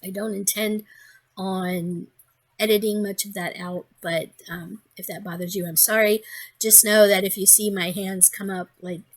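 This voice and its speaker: A woman with a hoarse, congested voice, chatting casually in a crafting vlog, rambling with many ums and restarts. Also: mid-range voice, steady tone, unhurried. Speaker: crafting vlogger.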